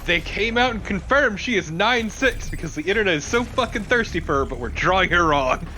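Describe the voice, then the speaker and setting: Bright, higher-voiced wisecracking man. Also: lively intonation, a little fast. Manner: sweary, wisecracking. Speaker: co-commentator. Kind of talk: casual banter. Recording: faint background noise. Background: game sound.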